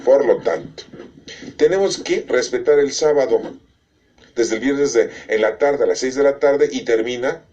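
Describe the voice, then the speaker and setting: A man's voice: medium pitch, a little slow, mellow, highs cut off. A middle-aged man explaining religious teaching emphatically, asking rhetorical questions and checking in with his listeners. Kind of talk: catechism explanation.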